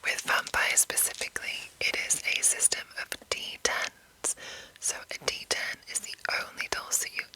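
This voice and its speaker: Whispering woman, crisp and breathy ASMR. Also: normal speed, sharp tone, rough recording. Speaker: ASMR host explaining a roleplaying game. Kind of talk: whispered ASMR explanation, rambling and unscripted.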